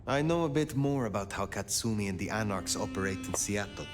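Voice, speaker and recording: Game casting: man as formal, composed vampire-world advisor, lowish voice, measured delivery, music behind. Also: animated, natural voice. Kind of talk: video game voice acting.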